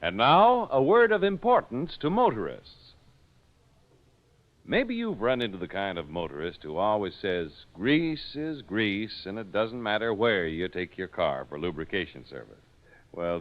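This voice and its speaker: Animated American old-time radio announcer man. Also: mid-range voice. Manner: polished, emphatic salesmanship. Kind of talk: 1940s radio announcing, scripted. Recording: dull 1940s radio recording with music.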